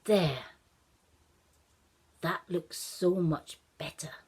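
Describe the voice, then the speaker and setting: A woman's voice: fairly low pitch, drawn-out words, breathy edge, full character voice. A woman voicing an eager, puzzled little boy in a children's story, exclaiming and musing aloud. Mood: excited, pondering.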